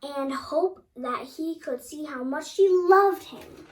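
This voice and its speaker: A very high-voiced female storyteller reads a children's tale aloud. Her delivery is halting, as she stumbles over words and repeats them. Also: a little hiss or hum.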